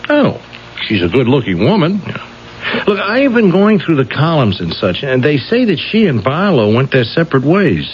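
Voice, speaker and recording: An actor playing an eager young police detective, brisk, informal and probing, in seventies radio drama style. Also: male voice, fairly high pitch, huge pitch swings. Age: young adult. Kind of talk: 1970s radio drama acting. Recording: dull, faintly noisy vintage radio recording.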